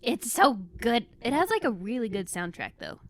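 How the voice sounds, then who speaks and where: A woman's voice: a little high, sing-song, moderate pace, dry recording, clean studio sound. A woman of twenty-one chatting casually as a podcast co-host, laughing often and saying "like" a lot. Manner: giggly, uses "like" a lot. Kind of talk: casual chat.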